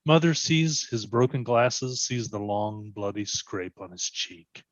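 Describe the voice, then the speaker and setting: A man's voice: on the low side, lively intonation, slow pace, no room sound. A man reading stage directions as dramatic scripted narration for a readers theatre. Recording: dull, lo-fi sound.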